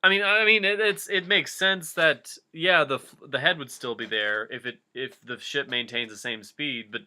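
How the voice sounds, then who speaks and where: A man's voice: mid-range voice, normal speed, bright, forceful tone. A man giving a comedic reading of fan fiction, breaking off into casual, jokey asides.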